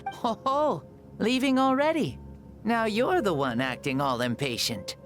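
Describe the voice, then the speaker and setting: A man's voice: fairly high pitch, lively intonation, unhurried, music in the background. Noble, solemn ancient hero-king. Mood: amused. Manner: solemn, formal, declamatory. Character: noble ancient male hero, reborn sun-king, grandly warm. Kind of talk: dramatic video game voice acting.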